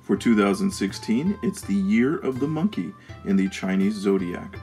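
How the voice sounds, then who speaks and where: A man's voice: lowish voice, expressive, moderate pace, music in the background. A man narrating a travel vlog as its host, reading from a script.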